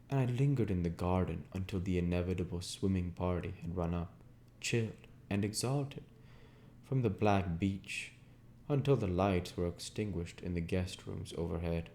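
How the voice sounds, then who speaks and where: A man's voice: deep voice, moderate pace, darkish tone, slight background noise. A man narrating literary fiction as an audiobook reader, in a scripted style.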